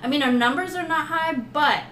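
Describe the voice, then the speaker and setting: A woman's voice: sits high, moderate pace, fairly bright tone, distant mic, slight background noise. Laughing woman, a bit off-mic. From United States. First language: Thai. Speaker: podcast guest. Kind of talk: casual podcast chat. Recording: roomy echo, off-mic.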